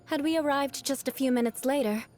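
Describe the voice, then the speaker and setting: An actress voicing a proud young noblewoman knight in a video game, with a haughty, formal, theatrical manner and a vengeful streak over a soft heart. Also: sits high, brisk, natural voice. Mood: fond, measured. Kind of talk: video game character voice lines. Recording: music behind the voice. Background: background music.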